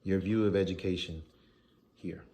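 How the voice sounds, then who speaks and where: A man with a low voice conducts an interview for a case study. He asks his questions haltingly, restarting his sentences and searching for words as he goes. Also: fairly even intonation, warm tone. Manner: hesitant, many fillers and restarts. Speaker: interviewer, student.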